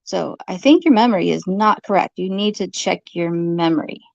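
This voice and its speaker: A woman arguing informally in an accusatory, halting way, repeating her phrases, on a slightly dull recording. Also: lowish voice, vocal fry, a little mellow, no echo. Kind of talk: informal argumentative monologue to camera.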